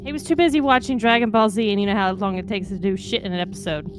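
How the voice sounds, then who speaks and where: A woman co-hosting a live stream, telling stories in a lively, chatty way. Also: mid-range voice, fast, music in the background, low-quality recording. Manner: animated, chatty storytelling. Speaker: stream co-host. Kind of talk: casual stream chat.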